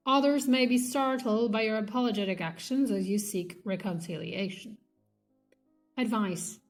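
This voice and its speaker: A woman reading an academic textbook aloud in a measured, slightly halting way. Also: medium pitch, faint music behind. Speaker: reader of a linguistics textbook. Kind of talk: read-aloud textbook narration.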